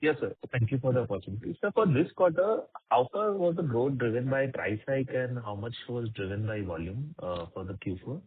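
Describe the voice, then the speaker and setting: A man's voice: mid-range voice, lively intonation, fairly quick, hardly any pauses, slightly rough. A man with an Indian accent asking questions as an analyst on an earnings call, in a deferential manner. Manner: deferential, often says sir. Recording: tinny phone line.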